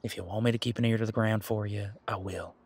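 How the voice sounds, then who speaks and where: Husky, easygoing werewolf guy, played by a man. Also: on the low side, steady tone, fast talker, darkish tone. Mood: earnest, reassuring. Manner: informal, chatty, frank, mildly profane. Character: easygoing, protective male werewolf, imposing but responsible. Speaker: voice actor in audio roleplay. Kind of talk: casual conversational audio roleplay monologue.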